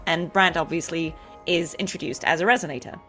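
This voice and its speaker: A woman with a slightly low voice hosts a casual interview. She is reflective, thinking aloud as she builds long questions for her guest. Soft music sits behind her. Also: brisk. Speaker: interview host and fellow voice actor. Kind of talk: conversational interviewing.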